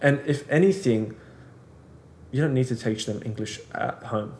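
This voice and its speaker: Casting: male co-host, middle pitch, laid-back and agreeable, recorded in a roomy echo. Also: normal speed, a little mellow. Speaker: podcast co-host. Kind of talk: casual podcast discussion. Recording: echoey room.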